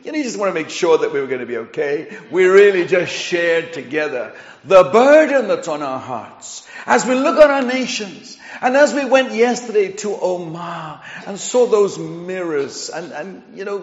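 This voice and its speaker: A man's voice: high voice, lively intonation, normal speed. A man from Chile with an English accent, preaching a sermon as a visiting pastor in an earnest, conversational manner with emphatic swells. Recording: dull, echoey hall sound.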